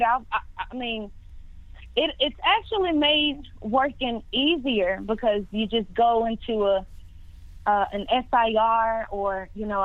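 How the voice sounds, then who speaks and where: A woman in her twenties talks over a tinny phone connection with no room sound at all. Her voice sits a bit high. She answers freely, repeats words and restarts her sentences often. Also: no room sound. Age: 20s. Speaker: singer guest. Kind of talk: casual interview answers. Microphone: phone line. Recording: tinny dry phone line.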